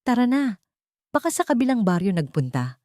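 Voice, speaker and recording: A woman's voice: fairly high pitch, sing-song, normal speed, warm tone, no echo, pitched above the performer's own voice. A woman voicing a menacing, mocking male hired thug in a drama story, taunting and threatening. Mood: dismissive.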